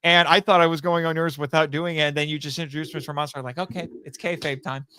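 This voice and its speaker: A talkative, animated man chatting casually as a podcast guest and often amused. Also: fairly high pitch, fast, a little hiss or hum. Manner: animated, long-winded, laughs often. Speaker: podcast guest, audio drama writer and voice actor. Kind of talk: casual interview chat.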